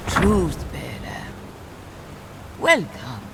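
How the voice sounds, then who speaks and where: A wise old spiritual elder gives calm counsel in a fantasy game. The voice is extremely creaky and slow, rising and falling expressively over music. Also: female voice, deep voice, noisy background, exaggerated voice. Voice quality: very creaky, aged voice. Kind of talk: dramatic video game acting. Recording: music behind the voice.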